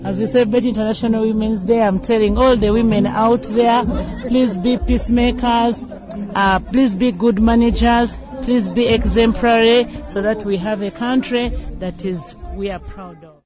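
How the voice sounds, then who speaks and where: A woman's voice: a little high, fairly even intonation, moderate pace, music in the background, poor audio. Ugandan woman trainer answering formally. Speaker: management trainer and lecturer. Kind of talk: formal radio interview answers.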